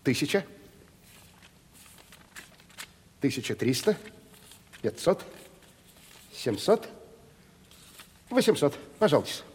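An actor in a theatrical radio play, playing a sly, stubborn provincial timber merchant with a folksy, mock-deferential way of haggling. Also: male voice, a little high, sing-song, unhurried, darkish tone, husky, exaggerated voice. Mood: feigned, calculating. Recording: reverberant 1970s radio play studio.

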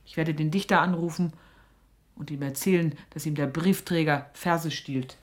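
A woman's voice: low voice, fairly even intonation, normal speed, natural voice. An actress playing a suspicious, scolding middle-aged mother with an earthy, sarcastic manner in a radio drama. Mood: stern, scornful. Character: stern, sharp-tongued, suspicious mother of a young woman.

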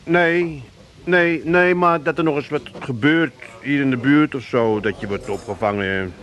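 An actor playing a teasing middle-aged Amsterdam bike-shed keeper in a comedy radio play, grumbling, hesitating and repeating himself. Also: male voice, medium pitch, a little slow, fairly bright tone, close to an everyday voice. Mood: sheepish, evasive. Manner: hesitant, repetitive, blustering.

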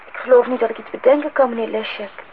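An actress playing a hesitant woman who plays along as wife and mother in a Dutch radio play, tentative yet expressive. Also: a little high, fast, lightly acted. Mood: tender storytelling. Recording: dull old radio recording, faint noise.

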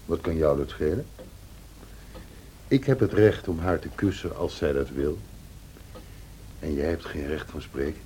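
A man's voice: rumbling low, measured pace, pauses often, warm tone. An actor playing a brooding, vengeful man in a radio drama, menacing and contemptuous in his delivery. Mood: bitter, threatening. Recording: noisy old radio drama recording.

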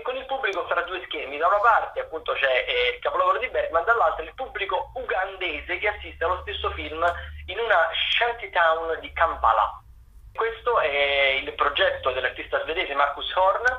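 A man speaking as a theatre correspondent, running through event listings in a hesitant, semi-improvised way, repeating himself as he searches for words. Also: fairly high pitch. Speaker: theatre correspondent on a radio show. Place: calling in to a radio programme by phone. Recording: narrow, tinny phone line.

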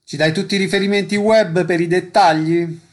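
A man's voice: sits high, steady tone, normal speed, darkish tone. A man hosting a radio interview, introducing and thanking guests. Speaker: radio host.

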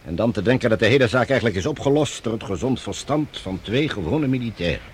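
An actor playing a courteous, weary general in a radio drama, formal and gentlemanly. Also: male voice, lowish voice, expressive, quick pace, a little hiss or hum, close to an everyday voice. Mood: reflective, courteous. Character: courteous, weary male general recovering his senses.

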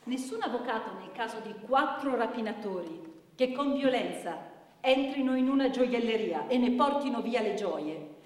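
A woman's voice: fairly high pitch, a little hiss or hum. An actress playing an impassioned, indignant woman lawyer in a radio play, speaking in an emphatic, rhetorical style with pointed repetitions. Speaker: stage actor. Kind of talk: theatrical courtroom oration and dramatic recitation. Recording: echoey hall, distant microphone.

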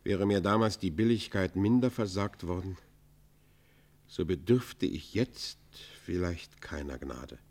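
A repentant robber in a classical radio play. His voice sits low and his speech is formal and declamatory. He pleads for mercy with stubborn pride, stressing his words emphatically. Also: a little slow, a little mellow. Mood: proud, bitter. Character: proud, remorseful outlaw robber pleading for mercy. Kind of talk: German radio play, classical literary acting.

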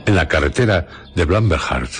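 A man's voice: rumbling low, lively intonation, normal speed. A man narrating a story as the scripted narrator of a radio drama. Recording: loud music behind voice.

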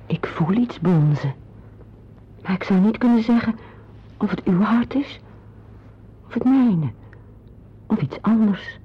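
An actress playing a composed, obliging woman in a radio play, with a husky voice. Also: mid-range voice, animated, slow pace, pauses often, full character voice. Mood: demure, hesitant. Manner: polite, demure, matter-of-fact. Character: obliging, composed, matter-of-fact mature woman, Mrs Atkins. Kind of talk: radio drama acting. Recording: dull, muffled old radio recording.

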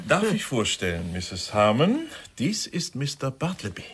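An actor playing a courteous, probing male police inspector in a crime radio play, questioning politely and deliberately. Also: medium pitch, huge pitch swings, fairly bright tone, slightly rough, exaggerated voice.

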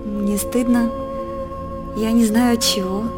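An actress playing a young, devout and dreamy princess in a radio drama, speaking in a heartfelt, formal theatrical way. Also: female voice, a little high, level tone, takes it slowly, music in the background. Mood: shy. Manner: heartfelt, declamatory, formal verse-like phrasing. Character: young princess daughter, devout, dreamy, earnest yet proud.

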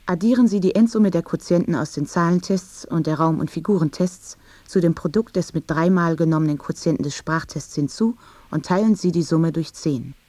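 A woman playing a measured instructor, reciting scripted exercises and rules in a radio feature. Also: low-pitched, dark tone, dry recording. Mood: instructive.

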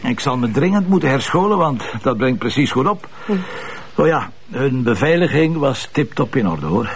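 An actor with a Flemish accent playing a wry, grumbling middle-aged police commissioner, dry and sardonic. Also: male voice, mid-range voice, big pitch swings, moderate pace, husky. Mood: deadpan. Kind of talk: TV drama acting.

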